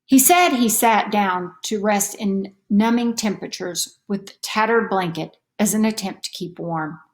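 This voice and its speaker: Woman, storybook reader, mid-pitched voice, expressive delivery, deliberate storytelling for children. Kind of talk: expressive children's read-aloud.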